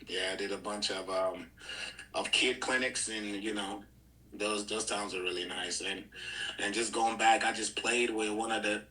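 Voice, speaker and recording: A young man from Nigeria, a guest on an interview podcast, telling his story in a relaxed way with frequent "uh" fillers. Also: fairly low pitch, poor audio. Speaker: guest, pro athlete. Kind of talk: casual interview chat.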